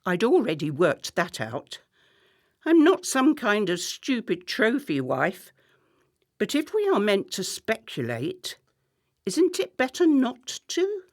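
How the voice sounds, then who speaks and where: A woman's voice: medium pitch, wildly swooping pitch, takes it slowly, exaggerated voice. A woman playing a contemptuous, sarcastic middle-class wife in a radio play, with a cutting, theatrical delivery. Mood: dry sarcasm.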